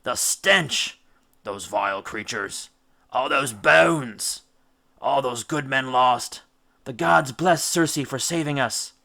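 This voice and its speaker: A man's voice: medium pitch, takes it slowly, creaky, bright, forceful tone, heavily acted. Man playing an earnest, appalled Greek oarsman. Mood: disgusted, horrified.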